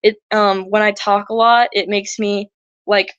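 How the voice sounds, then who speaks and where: A young woman talks loosely and eagerly, stopping, restarting and filling gaps with "like" and "um". Her voice has gone raspy and a bit shaky. The sound is dry and slightly dull, as though from a cheap home mic with no room sound. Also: mid-range voice, level tone, measured pace, a bit bright, no echo. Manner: chatty, rambling with many fillers and restarts. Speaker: livestream host. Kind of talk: casual educational livestream talk.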